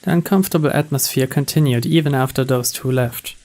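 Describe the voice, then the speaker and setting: A man's voice: mid-range voice, brisk. A man reading a game's text aloud as dramatic scripted narration. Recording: steady rain noise behind. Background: rain.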